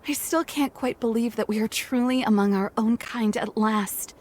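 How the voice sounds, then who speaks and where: A woman plays a young female werewolf in a dramatic game story. Her voice sits fairly high, and she speaks with earnest hope and firm resolve, pleading for her cause. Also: normal speed, a little mellow, natural voice. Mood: awed, curious. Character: determined, hopeful young female werewolf seeking freedom. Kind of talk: dramatic game cutscene acting.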